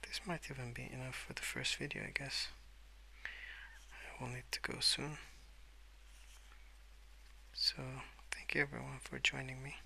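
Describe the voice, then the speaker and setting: A man speaking softly and hesitantly in a rambling ASMR style, often slipping into whispers at a desk indoors. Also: medium pitch, flat delivery, a little slow, vocal fry, pauses often, low-quality recording. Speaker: host of a colouring ASMR channel. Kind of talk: soft-spoken rambling ASMR. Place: at a wooden desk indoors, with pencil sounds.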